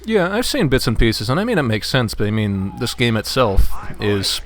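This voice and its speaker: A man's voice: medium pitch, big pitch swings, moderate pace. Easygoing man chatting about games. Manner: easygoing, hesitant, storytelling with fillers. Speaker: co-commentator. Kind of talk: casual gameplay chat.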